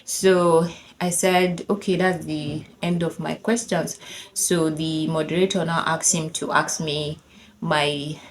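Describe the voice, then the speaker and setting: Chatty Nigerian woman, low voice. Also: little pitch movement, takes it slowly, slight background noise. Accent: Nigerian English. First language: Yoruba. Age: 30s. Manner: chatty and conversational, lots of "like", laughing while she tells it. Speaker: vlogger telling a personal story. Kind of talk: casual storytime narration.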